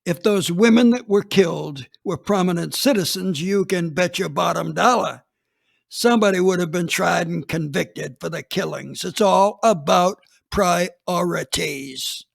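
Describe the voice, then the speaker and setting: A man's voice: sits high, unhurried, gravelly. A man reading a dramatized first-person story as a police chief, plainspoken and matter-of-fact.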